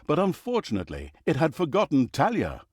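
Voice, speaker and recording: Wildly expressive, sardonic fantasy game narrator. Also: male voice, fairly high pitch, a little fast, husky, no room sound, clean studio sound, exaggerated voice. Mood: mock-grand, wry. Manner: sardonic, mock-grandiose, breaking the fourth wall. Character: wry, theatrical fantasy game narrator, easily exasperated. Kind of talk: scripted comic fantasy storytelling.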